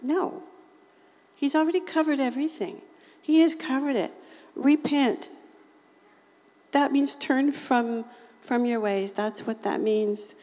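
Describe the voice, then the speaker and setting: Raspy-voiced woman preaching informally. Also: high-pitched, slow pace, pauses often, a little mellow, long reverb tail. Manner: chatty, folksy, often laughs and acts out quoted voices. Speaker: lay preacher. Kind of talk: informal sermon to a small group.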